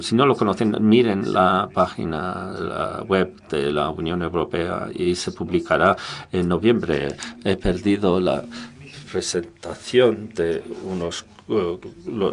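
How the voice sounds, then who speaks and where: A man with a low, deep voice interpreting a conference talk as it happens. He stops and restarts words often and fills gaps with hesitant sounds. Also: vocal fry. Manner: halting, frequent restarts and filler sounds.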